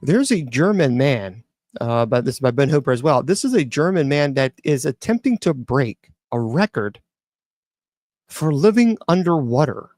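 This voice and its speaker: A man's voice: mid-range voice, big pitch swings, moderate pace, a little mellow, no echo, clean studio sound. A man hosting an open mic show, reading news stories aloud and chatting about them with frequent restarts. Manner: chatty, reads news aloud then riffs with many restarts. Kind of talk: casual commentary on news stories.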